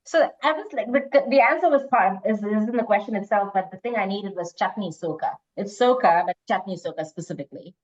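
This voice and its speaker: A woman's voice: medium pitch, brisk, hardly any pauses, a little mellow. A woman hosting a quiz, reading out questions and chatting casually with the players. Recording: dull lo-fi online call audio.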